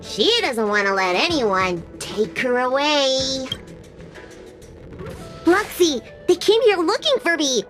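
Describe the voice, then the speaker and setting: A woman's voice: sits high, animated, takes it slowly, fairly bright tone, exaggerated voice. A woman voicing a mischievous, childlike spirit in an anime-style game, teasing, bratty and possessive of its friend. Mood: defiant. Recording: game music behind the voice.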